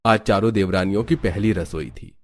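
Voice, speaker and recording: A man's voice: fairly low pitch, moderate pace. A man reading scripted storybook narration for a cartoon family tale.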